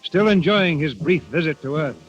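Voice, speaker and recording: A man narrating a 1930s radio drama as its announcer, in a crisp, declamatory scripted style. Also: on the high side, animated, moderate pace. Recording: dull vintage 1930s radio recording. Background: music.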